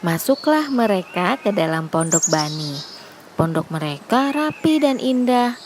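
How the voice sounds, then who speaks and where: A woman's voice: medium pitch, big pitch swings, measured pace, background noise. A woman narrating a scripted children's fable as a storyteller.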